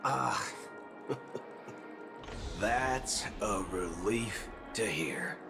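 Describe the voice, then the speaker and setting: A shrewd, brash cowboy from a video game. His low voice moves around a lot, full of folksy slang and swagger, with soundtrack music clearly behind it. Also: animated, very slow, heavily acted. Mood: feigned worried politeness. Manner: folksy, dropping casual slang and softened swears. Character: brash drawling cowboy gunslinger, cocky and shrewd.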